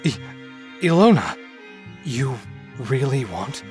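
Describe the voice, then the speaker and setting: Gothic game role: cursed werewolf man, gentle and solemn, devoted, music beneath. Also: mid-range voice, big pitch swings, slow pace. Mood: stunned. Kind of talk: dramatic visual novel voice acting. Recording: music under the voice.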